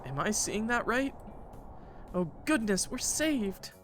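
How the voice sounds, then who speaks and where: Weary, hopeful boy for a story game man performing, higher pitch, self-deprecating, faint music. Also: big pitch swings, slow pace, a bit bright, heavily acted. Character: weary, sheepish, hopeful boy, elder brother.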